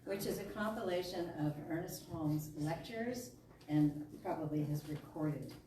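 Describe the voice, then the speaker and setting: Lower-voiced woman reading, very echoey hall. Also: unhurried, dark tone. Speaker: speaker reading to a congregation. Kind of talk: reading a spiritual talk aloud to a gathering. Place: meeting hall of a spiritual center. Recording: echoey hall, distant mic, faint noise.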